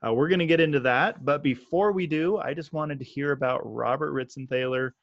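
A man's voice: fairly high pitch, a little fast, creaky, no room sound, dull audio. A man hosting a podcast, introducing the show and interviewing a guest in a friendly, upbeat way. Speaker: podcast host.

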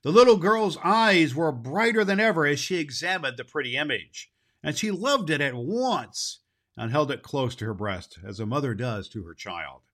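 A man narrating a children's storybook in an expressive, scripted reading style. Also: a little high, normal speed.

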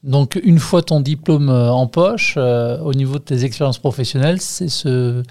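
A man's voice: mid-range voice, normal speed, a little mellow. A man hosting a podcast, speaking in an articulate, structured but informal way. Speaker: podcast host and interviewer. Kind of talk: podcast interviewing and presenting.

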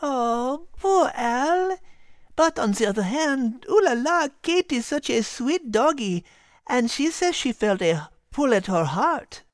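High, playful character. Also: female voice, expressive, takes it slowly, dry recording. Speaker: host.

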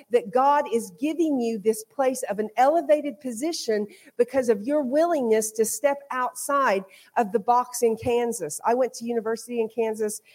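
A woman's voice: on the high side, darkish tone. A woman from Oklahoma with an American accent, giving an informal sermon as a visiting preacher, conversational and rolling through repeated phrases. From Oklahoma, United States. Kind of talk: informal sermon with personal testimony. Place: speaking to a congregation at a church.

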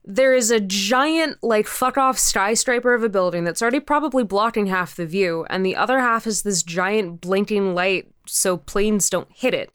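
Young female interviewee, clear voice, casual and animated, halting informal phrasing, close dry recording. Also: medium pitch, dry recording. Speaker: podcast creator, interview guest.

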